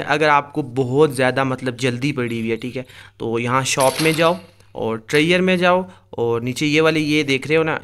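Bright, clear-voiced man doing game commentary. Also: a little high. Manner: explanatory, addresses viewers directly. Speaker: gaming video host. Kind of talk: casual screen-recorded game commentary.

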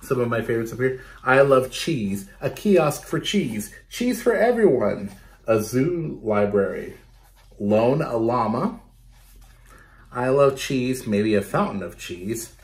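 A man in his thirties reading a picture book aloud to children in an expressive way, talking to them directly. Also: mid-range voice, takes it slowly. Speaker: storytime reader for children. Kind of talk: animated picture-book read-aloud with asides to young viewers. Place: small bedroom at home, indoors.

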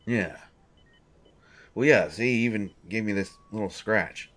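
A man voicing a gruff male sports coach who is slowly turning into a hungry monster, speaking haltingly and repeating himself. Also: on the low side, fairly bright tone. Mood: hungry, troubled. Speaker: audio drama voice actor. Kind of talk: audio roleplay monologue.

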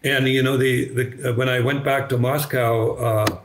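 An older man with a slight German accent, German native, telling his story as a podcast guest over a video call, halting with many restarts. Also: mid-range voice, steady tone, background noise. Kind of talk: conversational storytelling. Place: bright living room, indoors. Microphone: video call webcam.

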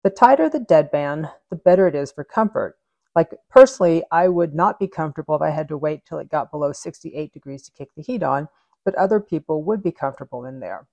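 A woman's voice: sits low, a little fast, no echo, lo-fi sound. A woman in her forties, the host of an HVAC channel, explaining a control concept step by step over whiteboard drawings in a home office. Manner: clear, steady step-by-step explaining. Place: home office with shelves and neon sign.

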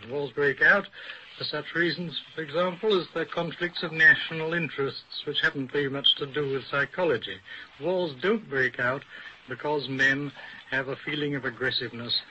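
A male professor explains his points on an old radio panel, deliberate and didactic. His voice is fairly high, very bright and cutting, with a husky edge. The recording is dull and lo-fi. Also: fairly even intonation, slight background noise. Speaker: academic expert guest, professor.

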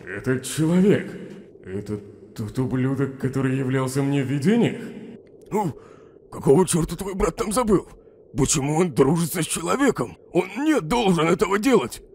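A man's voice: on the high side, animated, normal speed, darkish tone, slight background noise. A man voicing a snarky, irritable skeleton scientist in a comic dub, sardonic and dismissive. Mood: tense, annoyed. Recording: faint music behind the voice.

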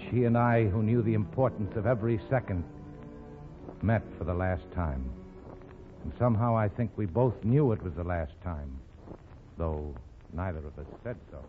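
A low-voiced fugitive in love in a forties radio melodrama. He is earnest, tender and resolute. The recording is tinny and narrow, with music behind. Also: animated, moderate pace. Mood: tender, wistful. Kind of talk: 1940s radio drama acting.

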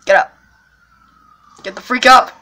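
A woman playing a young, anxious cousin in an amateur skit, soothing and pleading in halting, repeated phrases. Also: pushed and bright. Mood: alarmed. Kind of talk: amateur improvised character skit. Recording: music behind the voice.

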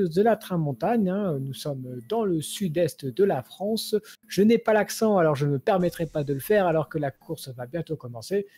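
A man's voice: on the high side, dark tone. Playful man co-commentating a sim race. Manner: teasing, playful, mock-formal asides. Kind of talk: joking live race commentary.

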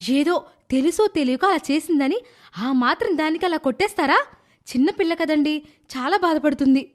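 A gentle young mother from a storybook, protective of her child, speaking in a soft, high falsetto that a male narrator puts on. Her voice sits well above his own and pleads softly. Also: normal speed. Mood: alarmed.

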